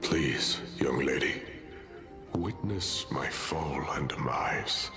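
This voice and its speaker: A man voicing a grave, resolute warrior lord in a video game, speaking in a solemn, formal, measured way. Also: rumbling low, whispered. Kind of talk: dramatic game voice acting. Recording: music behind.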